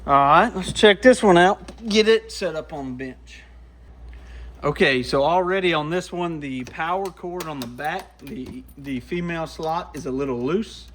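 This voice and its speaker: A man's voice: on the high side, measured pace, fairly bright tone, slight background noise. A man giving casual, folksy hands-on commentary to himself as he works at a repair bench, easygoing in manner. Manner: folksy, easygoing, talks to himself while working. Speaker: radio repair shop vlogger. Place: small radio repair workshop, indoors.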